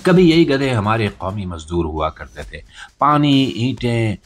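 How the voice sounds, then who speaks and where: A man with a Pakistani accent hosts a satirical news podcast with a lively, swinging pitch. His delivery is sarcastic and theatrical, full of rhetorical turns. Faint music plays under his voice. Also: measured pace. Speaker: podcast host. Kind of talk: satirical news commentary monologue.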